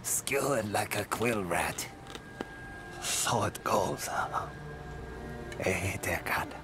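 A man's voice: on the low side, expressive, very slow, music in the background. An actor playing a wry, resigned old man, wounded and fading, in a dramatic fantasy game. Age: older. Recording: loud game score behind voice.

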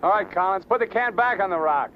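A man's voice: sits high, expressive, quick pace, a little hiss or hum. An actor playing a menacing, taunting gunman who gives curt, sneering orders in 1950s film noir style. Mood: taunting, shouting orders. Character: menacing, taunting gunman holding two men hostage. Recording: dull old 1950s film soundtrack.

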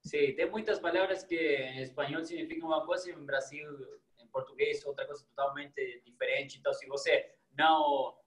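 A young man from Argentina with an Argentine Spanish accent, a guest in a casual interview over a video call, talking warmly and enthusiastically, sometimes pausing to search for words. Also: fairly high pitch, steady tone, echoey room, poor audio. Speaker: guest, psychologist. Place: small indoor room.

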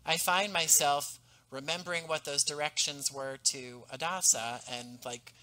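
A man in his thirties, a guest talking about his audio drama in an animated, enthusiastic way over a headset on a video call from home. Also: fairly high pitch, measured pace, slight background noise, poor audio. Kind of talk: casual interview. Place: home room, indoors.

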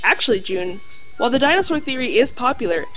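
A woman voicing a learned talking dog in an audio drama, lecturing precisely and calmly on lore. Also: a little high, fast talker, faint music behind. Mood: lecturing, composed. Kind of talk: audio drama acting, explanatory storytelling.

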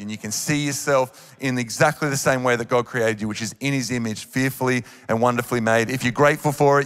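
An Australian man in his thirties preaches on stage, earnest and encouraging. He speaks into a handheld microphone at an average pitch. His voice rings with the long reverb of a big live auditorium. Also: fairly bright tone. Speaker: worship leader preaching. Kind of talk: sermon. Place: large church auditorium on stage.

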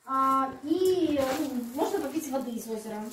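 A woman working as a cave guide, explaining informally and haltingly, with many pauses. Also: sits high, expressive, fairly bright tone. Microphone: handheld camera a few steps away. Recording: echoey, distant, noisy cave recording.

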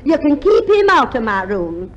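An indignant older Australian woman in a 1950s radio sketch. Her voice is very high and plaintive. She takes offence easily and speaks in wounded, huffy tones. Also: sing-song, moderate pace, highs cut off. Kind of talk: 1950s radio comedy acting.

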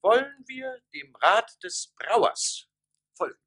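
A flustered village mayor from a radio play, chairing his council. He speaks very slowly with a husky edge and wildly swinging pitch, hesitating theatrically. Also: sing-song, bright, forceful tone, heavily acted. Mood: solemn asking. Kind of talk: German radio play acting.

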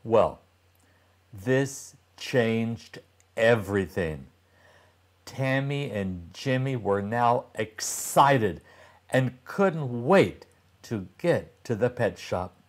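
Older guy doing a kids read-aloud, super slow and deliberate, expressive, medium pitch. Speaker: storybook reader for young children. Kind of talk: expressive read-aloud narration of a children's story.

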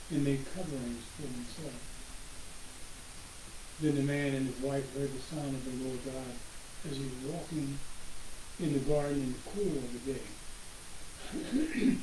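An older man with a slightly hoarse voice preaches from a desk in his home office, reading scripture slowly and clearing his throat now and then. His mid-pitched voice carries through a roomy, echoing space. A steady hiss sits under the webcam recording. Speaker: pastor. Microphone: webcam across the room.

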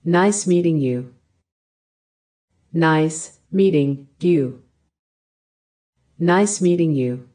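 Slow, careful woman reading drill phrases. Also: sits low. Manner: even, careful enunciation of short phrases. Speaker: language lesson reader.

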